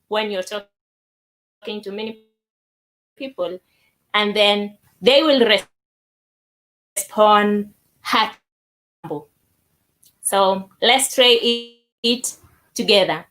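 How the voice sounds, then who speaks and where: Slow-paced woman teaching greetings. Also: medium pitch, fairly bright tone, rough recording. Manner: deliberate, repeats words for learners. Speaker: language teacher. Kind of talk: language lesson, demonstrating greetings.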